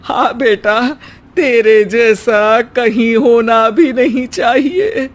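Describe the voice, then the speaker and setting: A woman voicing a patient, gently chiding, middle-aged village mother-in-law in a comic cartoon story. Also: a little high, very slow. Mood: exasperated. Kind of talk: Hindi cartoon story acting.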